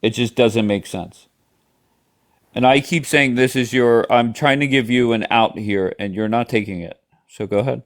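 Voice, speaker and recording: Calm, measured male live-stream host. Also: medium pitch. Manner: calm and measured, steering guests firmly. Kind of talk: moderating a live debate.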